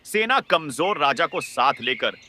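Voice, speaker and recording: A man voicing a cunning, power-hungry wolf in an animated Hindi moral story, pushy and insistent. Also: a little high, unhurried, slight background noise, full character voice. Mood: pushy, persuasive.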